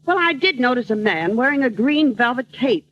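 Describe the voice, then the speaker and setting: An actress playing a haughty, scheming, glamorous gold-digging wife in a theatrical radio comedy style. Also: female voice, fairly high pitch, expressive, moderate pace, natural voice. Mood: coy. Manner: theatrical, coolly melodramatic. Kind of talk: old-time radio comedy acting. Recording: noisy muffled old radio, loud studio audience.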